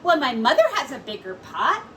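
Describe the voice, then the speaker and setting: A woman's voice: sits high, very animated, normal speed, fairly bright tone. A woman voicing a brave, curious little village girl in a children's storybook. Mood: eager. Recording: distant mic with faint background noise.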